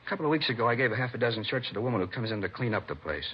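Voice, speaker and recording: A man's voice: medium pitch, expressive, racing, breathy edge. An actor playing a talkative, defensive American man under police questioning, conversational in a 1950s radio drama style. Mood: eager, explaining. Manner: conversational, indignant when pressed. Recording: dull vintage radio recording.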